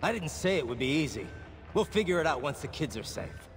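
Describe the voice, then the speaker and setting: An actor playing a grim, determined cyborg soldier in a video game, terse and intense. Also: male voice, fairly high pitch, big pitch swings, fairly quick, big-room sound, faint music behind. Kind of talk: video game cutscene acting.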